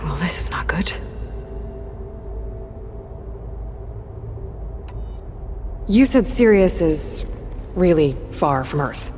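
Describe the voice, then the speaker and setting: A woman's voice: low voice, animated, unhurried, darkish tone, slight background noise. A young woman playing a determined, questioning sci-fi adventurer in a video game, with an earnest, conversational delivery. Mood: uneasy. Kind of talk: scripted video game cutscene dialogue. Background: background music.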